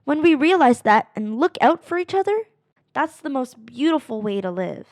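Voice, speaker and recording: A woman reading a children's picture book aloud in an animated, expressive way, drawing out phrases for dramatic emphasis. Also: high voice, moderate pace, no room sound, studio quality. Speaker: read-aloud narrator of children's books.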